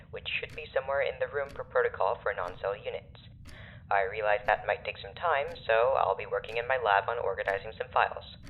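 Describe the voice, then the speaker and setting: A detached male scientist in an audio drama, making a brisk announcement. The voice is thin and phone-like through an intercom, with faint noise. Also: medium pitch. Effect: intercom filter.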